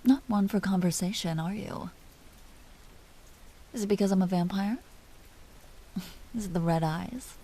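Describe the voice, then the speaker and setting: Woman voicing a slow, breathy, seductive vampire duchess. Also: mid-range voice, mellow. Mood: teasing. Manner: slow, breathy delivery dropping often into whispers. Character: dominant female vampire duchess, seductive, teasing, coolly imperious. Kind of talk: scripted audio roleplay, intimate monologue to listener.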